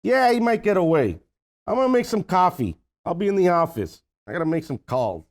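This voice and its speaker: A man's voice: high voice, very animated, fairly quick. A man playing a desperate, scheming middle-aged uncle in a comedy table read, blustering and pleading. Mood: bossy, brusque.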